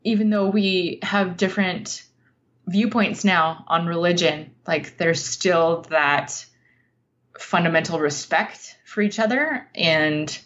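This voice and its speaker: Woman writer getting interviewed, kinda low voice, takes her time, thoughtful, bit muffled lo-fi sound. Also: slow pace, a bit bright. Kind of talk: reflective interview conversation.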